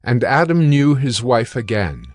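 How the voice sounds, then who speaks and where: A man narrating scripture from a script in a measured, solemn manner. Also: mid-range voice, sing-song.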